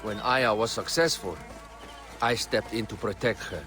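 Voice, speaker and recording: A man's voice: on the low side, normal speed, music in the background, natural voice. An actor playing a refined, articulate advisor to an ancient Egyptian queen in a video game, speaking formally and instructively. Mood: grave, informative. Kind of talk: video game cutscene acting.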